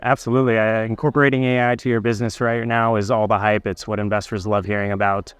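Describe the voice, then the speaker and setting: Guy hosting a business interview, upbeat, smooth clear voice, dry close sound. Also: on the low side, level tone, fast, a bit bright, no echo. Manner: upbeat, encouraging, some stumbles. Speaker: interview host.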